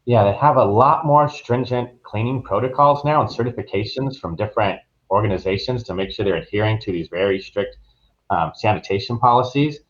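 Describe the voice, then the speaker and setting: A man's voice: fairly low pitch, fairly quick, lo-fi sound. A man answering interview questions as a travel professional, explaining things in an informal, conversational way. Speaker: guest travel professional reviewing a resort.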